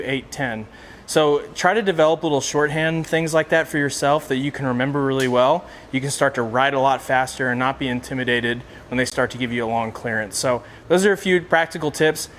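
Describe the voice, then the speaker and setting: A man's voice: medium pitch, fairly bright tone, a little hiss or hum. Conversational man teaching practical tips. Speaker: flight instructor, presenter. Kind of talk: casual instructional explanation.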